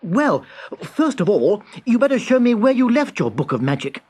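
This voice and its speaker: A man voicing a brave, polite, squeaky little male hero creature in a children's audio storybook. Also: big pitch swings, normal speed, darkish tone. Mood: eager, excited.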